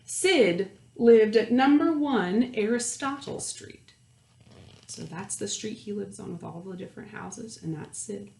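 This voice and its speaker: A woman reading a picture book aloud to young children, expressive, with questions and asides to her listeners. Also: medium pitch, measured pace, vocal fry. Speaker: children's storytime host and story reader. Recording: roomy, echoey indoor sound.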